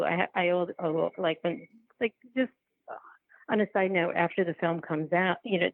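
A woman speaking casually as an interview guest, halting and restarting her sentences, over a thin phone-like line. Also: fairly low pitch, gravelly, no room sound. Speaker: interview guest, documentary filmmaker.

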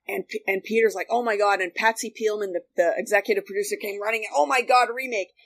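Female guest, medium pitch, informal storyteller who acts out what people said. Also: steady tone, fast, a bit bright. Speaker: guest, former radio colleague. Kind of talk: casual anecdotal chat.

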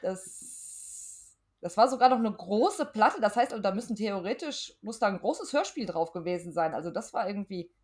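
A woman's voice: medium pitch, animated, moderate pace, a little mellow. A middle-aged woman chatting casually as a podcast guest answering questions. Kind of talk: casual chat.

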